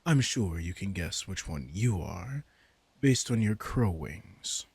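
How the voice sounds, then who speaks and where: A man's voice: low voice, animated, takes it slowly, vocal fry, a little mellow, breathy edge, no echo, exaggerated voice. A man playing a commanding, teasing vampire king in a roleplay audio drama, smug and casually menacing as he speaks straight to the listener. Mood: smug, explaining.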